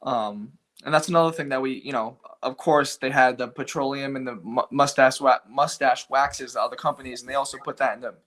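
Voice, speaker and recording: A man's voice: medium pitch, fairly even intonation, fairly quick, few pauses, fairly bright tone. A man with a Rhode Island accent, a company founder talking casually as a guest, rambling and full of fillers. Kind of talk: casual interview talk.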